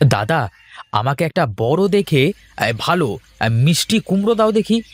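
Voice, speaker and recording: A man plays an eager villager in a cartoon. His fairly high voice swings widely up and down, with a familiar, colloquial way of hailing and haggling. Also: unhurried. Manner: animated, colloquial calling. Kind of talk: Bengali folk-tale cartoon dubbing.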